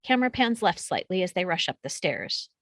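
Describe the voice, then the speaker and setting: A woman's voice: on the low side, very animated, a little fast, a bit bright, no echo. Dry-sounding woman reading stage directions. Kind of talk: scripted screenplay narration.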